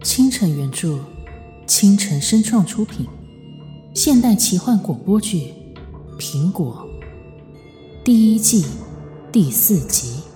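A woman's voice: mid-range voice, animated, slow pace, long pauses, dark tone, soft background music. A woman reading a scripted title announcement for a radio drama.

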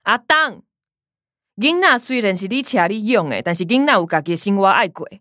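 An actress playing a curt, scornful young adult elder sister in a radio drama, with a Taiwanese accent. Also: female voice, medium pitch, lively intonation, a little fast, fairly bright tone, no room sound, studio quality, lightly acted. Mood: reproving. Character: sharp-tongued adult elder sister, a bank professional.

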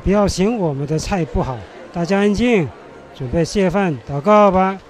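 An actor playing a hospitable, excited villager, exclaiming in a radio Bible drama. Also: male voice, high voice, moderate pace, slight background noise, close to an everyday voice.

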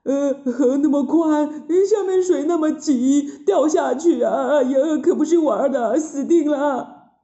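A woman voicing wailing cowardly pig-man, high-pitched. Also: dark tone, roomy sound, dull audio. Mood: panicked wailing. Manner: wailing, moaning, drawn-out exclamations. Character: cowardly whining pig-man disciple, male, grumbling.

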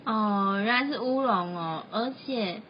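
A woman's voice: a little slow, lightly acted. A young woman with a Taiwanese Mandarin accent playing a chatty, skittish dorm roommate in an amateur campus radio drama. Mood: exasperated. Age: young adult. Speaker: student radio drama actor. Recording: dull lo-fi sound with background rumble.

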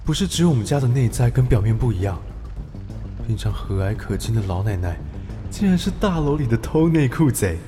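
An actor playing a polite but secretly scheming young student in a radio drama, with a Taiwanese Mandarin accent. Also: male voice, medium pitch, big pitch swings, a little fast, mellow, faint music behind, natural voice. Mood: musing, secretive. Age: young adult. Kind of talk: radio drama acting with inner monologue.

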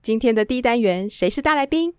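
A woman's voice: on the high side, animated, quick pace, clear, resonant tone. A woman with a Taiwanese Mandarin accent who hosts a radio show, presenting scripted introductions and interviewing a guest. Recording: music playing behind the voice. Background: music.

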